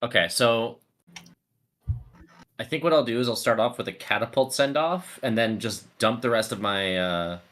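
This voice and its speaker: A man's voice: medium pitch, moderate pace, fairly bright tone. A man playing a tabletop roleplay game, talking casually and chummily as he plans moves. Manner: chummy, says mate often.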